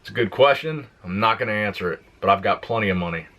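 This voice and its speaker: Laid-back young man, slightly low voice. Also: fast. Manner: relaxed, plain-spoken, reads questions aloud then answers. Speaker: fitness YouTuber. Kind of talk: casual Q and A vlog.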